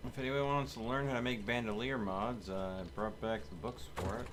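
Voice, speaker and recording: A man's voice: mid-range voice, fairly even intonation, fairly quick. A man chatting casually with teammates as he streams video gameplay. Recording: constant game noise behind voice.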